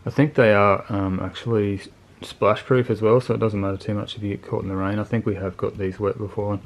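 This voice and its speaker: A man's voice: fairly low pitch, flat delivery, brisk. A man giving a casual, unscripted product review in a laid-back, colloquial, plain-spoken way. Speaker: motorcycle video channel host reviewing gear.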